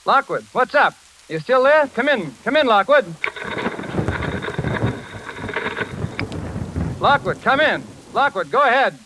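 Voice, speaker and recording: An actor playing a brisk, slangy engineer who frets over his friend in a 1940s radio drama. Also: very high-pitched, very animated. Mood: anxious, urgent. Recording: noisy, crackling vintage radio recording.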